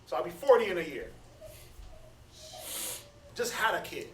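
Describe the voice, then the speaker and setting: A man in his thirties gives a laid-back scripture talk, stammering and doubling back on his words. His voice sits a little high for a man. He is recorded from a distance in an echoey room with a faint hiss behind him. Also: sing-song, normal speed. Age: 30s. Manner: conversational, preachy, stammers and repeats words. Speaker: Bible study speaker. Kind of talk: casual teaching talk.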